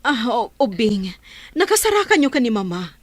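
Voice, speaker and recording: Woman acting an excitable daughter, high and animated. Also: normal speed, dry recording. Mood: curious, concerned. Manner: exclaiming, inquisitive. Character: excitable, concerned grown daughter of an old woman. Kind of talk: audio drama acting.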